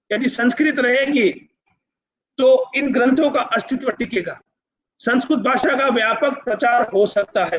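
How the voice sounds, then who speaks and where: Male lecturer, Gujarati accent, high-pitched, emphatic and repetitive phrasing with English terms, muffled low-fidelity recording. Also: fairly bright tone. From Gujarat, India. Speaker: university vice-chancellor, speaker. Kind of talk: public lecture, explanatory and persuasive.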